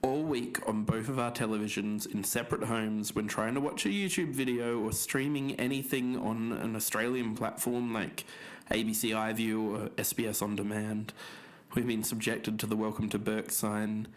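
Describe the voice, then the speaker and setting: A man's voice: fairly low pitch, steady tone, normal speed, lightly acted. A man playing an uneasy podcast co-host, speaking in conversational narration about an investigation. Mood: uneasy, candid.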